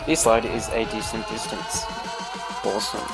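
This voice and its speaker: A man's voice: lowish voice, moderate pace, creaky, loud music behind the voice. A man hosting a gaming video, giving gameplay commentary in careful, formal phrasing with few contractions.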